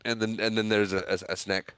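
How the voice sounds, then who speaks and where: A man's voice: lowish voice, flat delivery, a little fast, a bit bright, smooth, no room sound. A man co-hosting a podcast, retelling film scenes in casual chat, acting out the characters' quoted lines. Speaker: podcast co-host.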